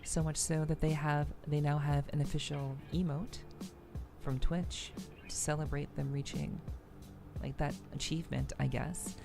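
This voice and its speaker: Low, creaky-voiced woman streamer. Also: steady tone, music in the background. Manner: halting, measured, repeats words as she thinks. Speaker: VTuber livestream host. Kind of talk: casual livestream chat, answering viewers.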